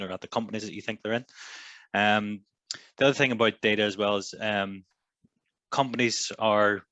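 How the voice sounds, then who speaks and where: A man on a webinar panel, explaining in a measured way with frequent ums. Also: fairly low pitch, sharp tone, no room sound.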